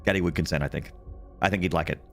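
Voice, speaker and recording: A man's voice: sits low, fairly even intonation, very fast, vocal fry, a bit bright, faint music behind. An Australian man streaming a game, chatting to viewers in a joking, rambling way. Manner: joking, rambling, playfully flirty with chat. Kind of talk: casual live-stream chatter while gaming.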